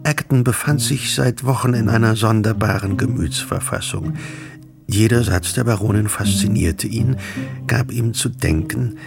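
A man narrating a literary radio drama in a wry, measured manner. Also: on the low side, creaky. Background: music.

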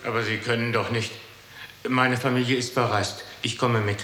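A man's voice: mid-range voice, level tone, moderate pace, pushed and bright, close to an everyday voice. An actor playing a frightened family man pleading during his arrest in a 1960s German radio drama. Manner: pleading, anxious. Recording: echoey 1960s radio recording.

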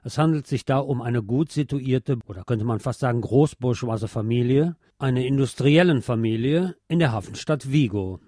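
A man's voice: medium pitch, normal speed, few pauses, warm tone, dry recording, studio quality. A man presenting a film review as a show host, partly scripted.

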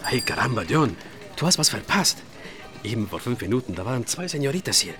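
Radio play shopkeeper: excitable, cheeky Spanish-accented chatterbox, hugely animated delivery over music. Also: male voice, mid-range voice, brisk. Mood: excited, gushing. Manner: exuberant, German peppered with Spanish interjections.

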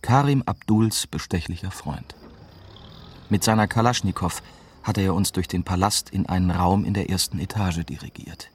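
An actor playing a young German journalist who narrates his adventure in measured first-person radio drama style. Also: low-pitched, lightly acted. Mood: measured, tense. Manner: measured, storytelling. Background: prominent music.

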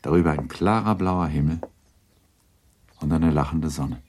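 A man's voice: very deep voice, unhurried, a little mellow, a little hiss or hum. A man narrating a literary radio drama in a composed, scripted storytelling style.

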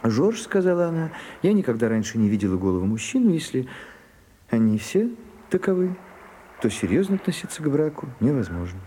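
An actor playing a shy, prim gentleman in a radio play, confiding haltingly and easily flustered. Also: mid-range voice, very animated, close to an everyday voice. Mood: hurt, indignant. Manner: hesitant, halting, bashful. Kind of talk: Russian radio play acting. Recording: muffled Soviet-era radio recording, some hiss.

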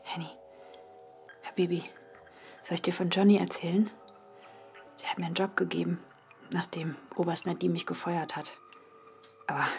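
An actress playing an earnest, questioning young woman in a naturalistic radio drama, intimate and often hushed. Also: low-pitched, creaky.

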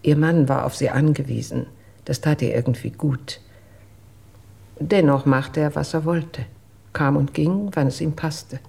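An actress playing a reserved, dutiful household employee who recounts events in a measured, careful way in a radio drama, with a husky voice. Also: female voice, low voice, fairly even intonation, dark tone, heavily acted. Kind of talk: German radio drama acting.

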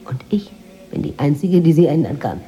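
An actress playing a secretive, possessive housemaid in a radio drama, speaking in a guarded, quietly insistent way. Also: female voice, low voice, moderate pace, muffled recording, faint music behind, natural voice. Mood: defiant, possessive. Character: secretive, possessive Moroccan housemaid, obsessed with her mistress.

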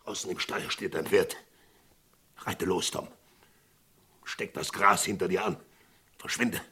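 An actor playing a desperate frontier sheriff in a Western radio play, pleading urgently with his friend, swinging from hushed to shouting. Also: low-pitched, a little slow, breathy edge, exaggerated voice. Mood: quiet, pleading.

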